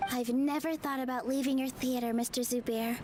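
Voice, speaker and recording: An actress playing a sweet, earnest young dancer in a game, speaking gently, politely and sincerely. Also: female voice, high voice, steady tone, brisk, background noise, lightly acted. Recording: game dialogue with music behind.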